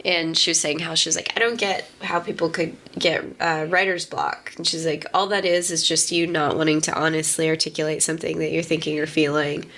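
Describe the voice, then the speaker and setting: A woman in her mid-thirties chatting casually as a podcast host, musing in a halting way and often saying "like". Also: low voice, steady tone, a bit bright. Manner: halting, filler-heavy musing with frequent "like". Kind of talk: casual conversation.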